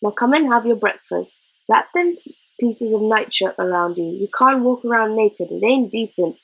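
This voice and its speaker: A kindly old man from a storybook, as a woman reads him. He is patient and peaceable, plain-spoken and homely, with a slightly husky tone. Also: on the high side, animated, moderate pace, low-quality recording.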